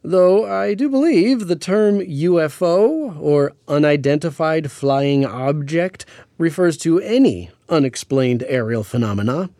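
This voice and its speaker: An actor playing a learned, patient astrophysicist in an audio drama radio interview, explaining things in a measured, precise, pedantic way. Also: male voice, a little high, expressive, warm tone, dry recording, clean studio sound. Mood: explanatory, precise. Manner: pedantic, measured, hesitant with hedging asides. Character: learned male astrophysicist, patient, precise, mildly amused Cold War expert. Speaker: astrophysicist guest on a radio show.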